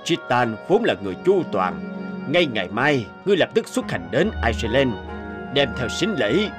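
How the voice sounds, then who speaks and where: Man as a commanding, expressive cartoon king. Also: medium pitch, moderate pace, over music, lightly acted. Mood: stately, commanding. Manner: formal, authoritative. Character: commanding middle-aged king, stately and decisive. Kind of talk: dubbed cartoon fairy tale acting.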